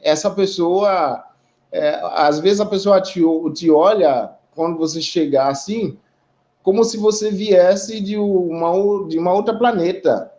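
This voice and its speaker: A man with a Haitian accent talks unhurriedly over a video call, pausing often and checking in with tag questions. His voice sits fairly high, and the stream audio is dull and lo-fi. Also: a little mellow. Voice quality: measured, reflective, with frequent pauses and tag questions. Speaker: guest representing an immigrant community. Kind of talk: conversational testimony in a live online discussion.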